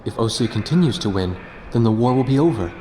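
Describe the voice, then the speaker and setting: An earnest military man, voiced by an actor in a game drama. He is serious, with a mid-pitched voice over clearly audible engine ambience. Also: expressive, quick pace, mellow, slight background noise, close to an everyday voice. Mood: urgent, serious. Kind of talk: dubbed game cutscene drama.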